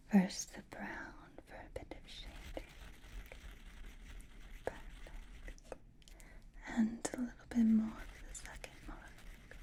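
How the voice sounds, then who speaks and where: A woman playing a centuries-old aristocratic vampire lady in a whispered ASMR roleplay, doting, possessive and softly teasing, with refined manners and a breathy voice. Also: medium pitch, close to an everyday voice. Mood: soft, attentive.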